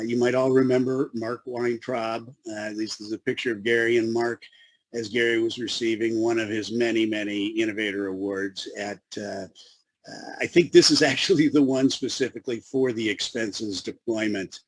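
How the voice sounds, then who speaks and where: A man with a Chicago accent giving a webinar presentation as a consultant, with a radio-style voice and a halting manner full of fillers and restarts. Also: fairly low pitch, fairly even intonation, no echo, rough recording.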